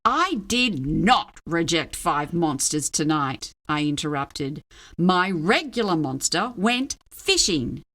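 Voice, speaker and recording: A woman reading a children's storybook aloud in an expressive narrating style. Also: low-pitched, slow. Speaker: storybook reader.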